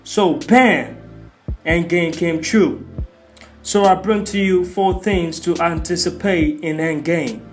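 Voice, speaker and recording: Guy with a kinda high voice doing slangy hyped trailer commentary, music playing behind him. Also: slow. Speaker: video host.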